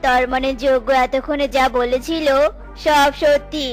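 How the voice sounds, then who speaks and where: A woman's voice: high-pitched, steady tone, unhurried, soft background music. A woman voicing a stern eagle village chief in a cartoon, commanding and scolding.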